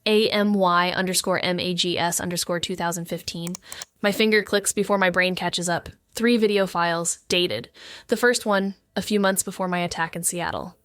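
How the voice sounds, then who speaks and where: A woman's voice: on the low side, fairly even intonation, moderate pace, a bit bright, no echo, natural voice. An actress playing a wounded, avoidant woman, narrating a noir audio drama in an introspective, brooding way. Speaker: narrating character.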